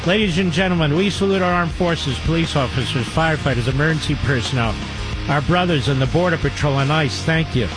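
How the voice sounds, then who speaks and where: A man's voice: a little high, over music, low-quality recording. A man hosting a talk radio show and taking calls, emphatic and opinionated. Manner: emphatic, opinionated, rapid-fire.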